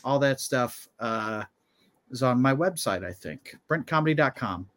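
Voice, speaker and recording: A man's voice: mid-range voice, animated, a little slow, a bit bright. A man who does stand-up, co-hosting a comedy podcast in a casual, joking way.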